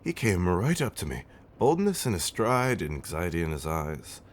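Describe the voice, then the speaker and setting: A man's voice: low-pitched, sing-song, normal speed, slight background noise. A man playing an affectionate, centuries-old vampire husband in an ASMR roleplay, telling stories in a theatrical, teasing, old-fashioned way with dramatic swells and whispers. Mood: fond, amused.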